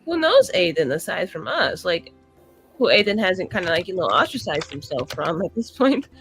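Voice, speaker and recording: A woman's voice: fairly low pitch, sing-song, normal speed, gravelly, fairly bright tone, soft background music. A woman improvising in character at a tabletop roleplaying game, faltering and repeating words as she goes.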